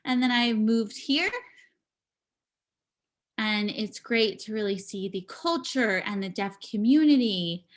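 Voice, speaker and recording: A woman giving a live spoken interpretation of a signing performer, speaking in halting bursts with pauses and restarts, over a video call. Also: a little high. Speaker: sign language voice interpreter.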